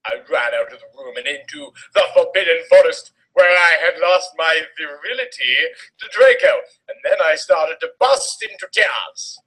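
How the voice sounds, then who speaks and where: A man's voice: fairly high pitch, lively intonation, moderate pace, a bit bright, rough recording. A man giving a theatrical, exaggerated and melodramatic dramatic reading of a story. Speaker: dramatic reader of fan fiction.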